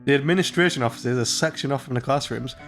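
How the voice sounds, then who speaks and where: Male let's player, mid-pitched, jokey narrator voice, light music behind. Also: fast talker. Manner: swearing, laughing, reads narration with jokey asides. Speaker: let's play host. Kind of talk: casual let's play banter, reading game text aloud.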